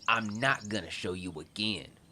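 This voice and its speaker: An actor voicing a gruff, angry middle-aged father who growls contemptuous orders in a much deeper voice than the narration. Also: moderate pace, background noise, close to an everyday voice.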